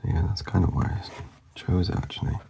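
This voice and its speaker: A man talking casually as he works through a drawing, mumbling and rambling in a soft voice that often drops to a whisper, and he is losing his voice. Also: sits low, fast, creaky, slight background noise. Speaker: artist demonstrating a drawing.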